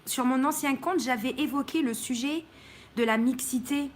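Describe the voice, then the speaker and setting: A high-pitched woman, from Morocco, holds forth in a live-stream monologue. She speaks her mind without softening it, pausing on fillers between points and breaking off to greet viewers. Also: a little hiss or hum. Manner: outspoken and blunt, with many hesitations.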